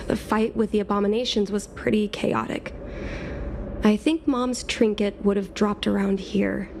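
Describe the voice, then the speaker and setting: A woman's voice: mid-range voice, fairly even intonation, unhurried, noisy background, lightly acted. A woman voicing an easygoing, wistful young woman in casual, conversational video game dialogue. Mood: thoughtful. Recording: music playing behind the voice.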